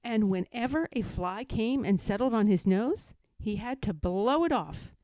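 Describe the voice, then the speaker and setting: A woman's voice: medium pitch, animated, a little slow, dark tone, no room sound. A woman reading a children's story aloud as a scripted narration. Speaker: storybook reader.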